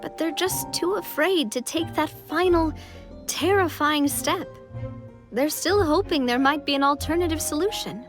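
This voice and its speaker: A woman voicing a confident, gracious young female leader in a video game, poised, earnest and expressive. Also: sits high, measured pace, music in the background. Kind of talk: video game cutscene acting.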